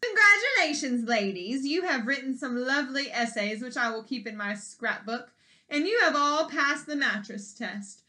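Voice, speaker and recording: Storybook queen, played by a woman reader: demanding, haughty, bright forceful tone, formal proclamations. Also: high voice, expressive, normal speed. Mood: grand, formal.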